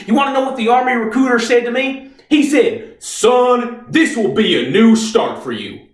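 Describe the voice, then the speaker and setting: A man's voice: sits high, sing-song, moderate pace. A man playing a defiant, unstable, bitter young army private from Texas, cocky and agitated, in a stage monologue. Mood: anguished, angry. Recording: echoey room, distant microphone.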